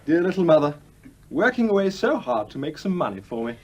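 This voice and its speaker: A sanctimonious young son in a radio play, smug and patronising. His voice is high for a man and slightly husky, with a very lively, wheedling rise and fall. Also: fairly quick. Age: young adult. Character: smug young adult son, pompous would-be clergyman, self-centred. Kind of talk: British radio play acting.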